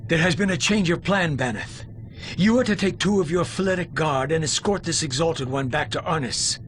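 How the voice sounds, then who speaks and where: A man's voice: on the high side, moderate pace, a bit bright, a little hiss or hum, close to an everyday voice. A man playing an authoritative alien military commander in a video game, speaking in a formal, oratorical manner. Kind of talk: dramatic scripted game cutscene acting.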